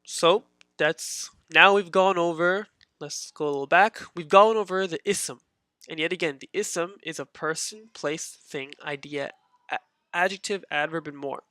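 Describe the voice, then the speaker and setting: Guy teaching a beginner class, kinda high bright voice, lots of uhs, dry close mic. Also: unhurried, clear, resonant tone, dry recording. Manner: patient, repeats points, many fillers. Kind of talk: casual online lesson.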